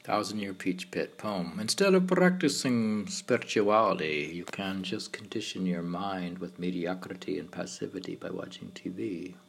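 A man reading his own meditative poems in a halting way, now and then repeating or stumbling over words. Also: low voice, gravelly. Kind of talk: slow meditative poetry reading. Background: piano and keyboard music.

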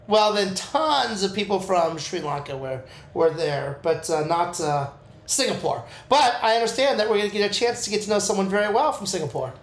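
A man's voice: high voice, animated, moderate pace, reverberant. A man co-hosting a podcast who jokes and laughs often in casual chat. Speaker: podcast co-host.

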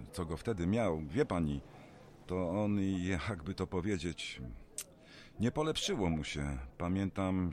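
An actor playing a gossipy, awkward elderly male neighbour, rambling and hesitant in plain folksy talk, in a radio drama. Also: low voice. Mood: reluctant, sorrowful. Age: older. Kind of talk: naturalistic radio drama acting.

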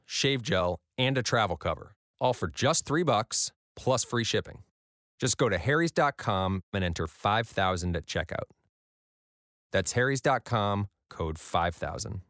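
An American man delivers a scripted advert in a conversational way. His voice is fairly low with lively intonation, recorded close and very dry. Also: no room sound. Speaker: company founder advertising his product.

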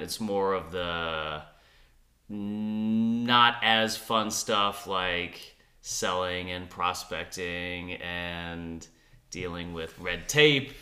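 A man's voice: low voice, bright, forceful tone. A man co-hosting a podcast, chatting casually and dropping dry jokes. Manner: dry joking asides. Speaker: podcast co-host. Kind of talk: casual chat.

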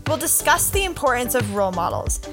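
Lively young woman hosting, soft music behind. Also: fairly high pitch, a little fast. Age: young adult. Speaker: podcast co-host. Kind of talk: scripted podcast intro.

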